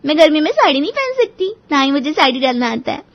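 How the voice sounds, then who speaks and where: Woman acting as cheeky young bride, very high-pitched, earnest and chirpy, animated storybook dialogue, muffled lo-fi audio. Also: fast. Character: outspoken young daughter-in-law, cheerful and cheeky. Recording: dull, lo-fi audio.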